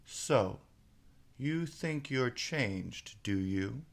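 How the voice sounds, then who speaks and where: A man voicing a stern, condescending storybook caterpillar in slow, drawn-out, terse phrases. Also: medium pitch, animated, vocal fry, voice pushed up, heavily acted. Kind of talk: storybook character voice.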